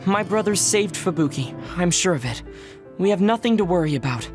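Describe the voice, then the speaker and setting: A woman's voice: on the low side, animated, a little fast, darkish tone, soft background music, close to an everyday voice. An actress playing a self-assured, loyal young woman squad mate in an anime game, composed and direct. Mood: confident. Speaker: video game voice actor. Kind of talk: anime game cutscene dialogue.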